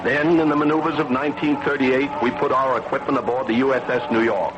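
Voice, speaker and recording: An earnest American scientist played by a man narrates in dramatic old-time radio style, with a medium-pitched voice. Loud music runs behind him on a vintage broadcast recording. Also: fairly even intonation, fast talker, dull audio, close to an everyday voice. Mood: solemn pride. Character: earnest navy scientist recounting his discovery. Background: prominent music.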